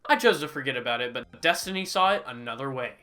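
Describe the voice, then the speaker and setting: A man's voice: medium pitch, lively intonation, fast talker, sharp tone, faint music behind. A young man in his twenties doing a sarcastic, comedic scripted game review, breaking into sudden exaggerated outbursts. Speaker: gaming YouTuber.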